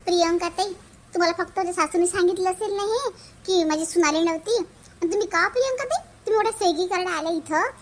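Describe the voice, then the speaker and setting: A woman plays a sharp-tongued young daughter-in-law in a cartoon family drama. The voice is extremely high, almost childlike. She argues and scolds defiantly. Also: quick pace. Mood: indignant. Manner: argumentative, scolding.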